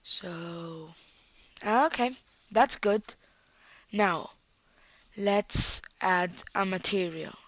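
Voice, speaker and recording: Slow Italian woman with a cold. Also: medium pitch, no room sound. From Italy. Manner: unhurried, thinking aloud while clicking. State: has a cold. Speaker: software tutorial presenter. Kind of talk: screen-recorded software tutorial.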